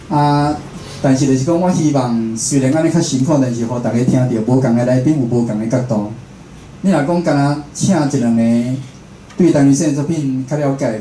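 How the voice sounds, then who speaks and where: A man with a Taiwanese accent gives an informal talk in a roomy, echoing space with faint noise behind him. His voice is slightly mellow and mid-pitched, and he explains things conversationally, often checking that listeners follow. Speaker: radio host and station director giving a talk. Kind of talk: informal public lecture. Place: indoor room at a public talk.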